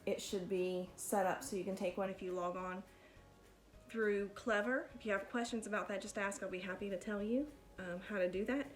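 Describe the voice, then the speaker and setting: A woman reading a picture book aloud to children in an expressive, deliberate storytelling manner, like a teacher addressing pupils. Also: mid-range voice, faint music behind.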